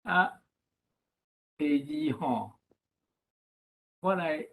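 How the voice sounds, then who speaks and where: Slow-talking Taiwanese man giving feedback. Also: on the high side, expressive, vocal fry, narrow, tinny audio. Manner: halting, with frequent trailing tag particles. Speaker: discussion participant giving feedback. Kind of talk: informal spoken commentary at a meeting.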